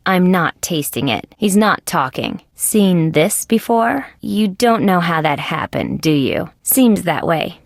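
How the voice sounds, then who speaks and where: Wry, inquisitive lady detective for an adventure game: deadpan sarcasm, gravelly fry, dry close sound. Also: fairly low pitch, slow, few pauses, no room sound, heavily acted. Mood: dry, sardonic, then questioning. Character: female insect police detective, wry, inquisitive, level-headed. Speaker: detective character in a video game. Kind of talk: scripted adventure game voice lines.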